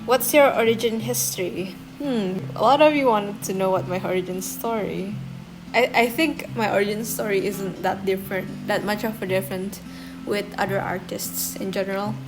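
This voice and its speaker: A woman's voice: medium pitch, fairly even intonation, moderate pace, rough recording. Chatty young Indonesian woman over guitar music. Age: young adult. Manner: chatty, giggly, self-deprecating. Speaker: artist vlogger. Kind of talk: casual voice-over Q&A. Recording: music playing behind. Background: soft guitar music.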